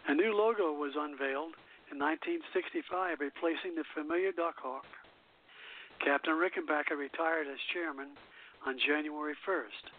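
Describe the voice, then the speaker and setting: A man's voice: on the high side, moderate pace. A man hosting an internet radio show, reading notes on music and airline history with small stumbles and restarts. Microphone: call-in internet radio line. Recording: tinny phone-line audio.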